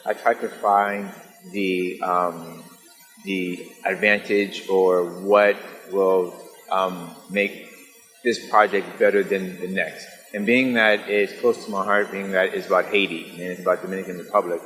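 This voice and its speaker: A man giving interview answers as a director, halting often as he searches for words. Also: low-pitched, little pitch movement, a bit bright. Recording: echoey hall. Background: music.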